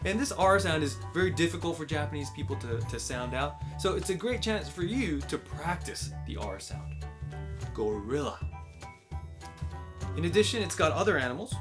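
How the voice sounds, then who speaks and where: A man with an American accent explaining and recommending in a clear, instructive way, using simple wording, as host of a children's learning channel. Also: fairly high pitch, very animated, moderate pace, music in the background. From United States. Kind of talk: explanatory book recommendation and picture-book read-aloud.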